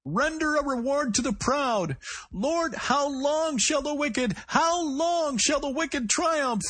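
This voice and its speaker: A man voicing a male fanatic who shouts scripture in a high, strained, cracking voice. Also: animated, heavily acted. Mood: fervent. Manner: archaic scripture chanted slowly and fervently.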